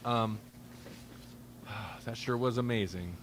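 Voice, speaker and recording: A man's voice: fairly low pitch, slow pace. A man hosting a casual podcast in a laid-back, joking way. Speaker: podcast host. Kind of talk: casual chat.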